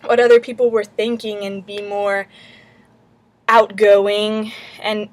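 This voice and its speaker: A young American woman talks casually, as in a student vlog. Her pitch stays quite flat and even. She rambles a little and feels her way through each thought in an informal, hesitant manner. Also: slow. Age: late teens to young adult. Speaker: college student.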